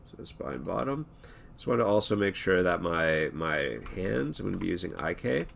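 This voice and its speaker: A hoarse man talking through an animation tutorial in a relaxed, thinking-aloud way, with many fillers and restarts. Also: deep voice, normal speed, slight background noise. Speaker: animation instructor. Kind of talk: screen-recorded tutorial talk.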